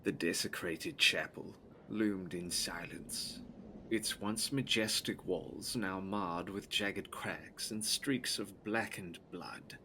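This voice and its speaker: Male narrator, gothic horror drama: deep, sharp-edged, solemn, measured, over faint ambient noise. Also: rough recording.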